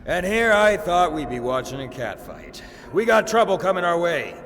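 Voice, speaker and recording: An actor playing a big, brash, laid-back mercenary swordsman in a fantasy audio drama, casual and cocky with a gravelly voice. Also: high voice, big pitch swings, normal speed. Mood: casual alert. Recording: long hall reverb, faint music.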